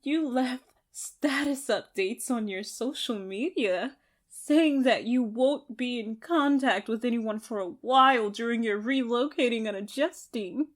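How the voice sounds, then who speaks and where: A woman playing an unhinged, possessive young captor in an ASMR roleplay, gloating and obsessive, with a deliberate, theatrical delivery. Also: on the high side, close to an everyday voice. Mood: gloating, sweet. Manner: deliberate, theatrical, broken by giggles.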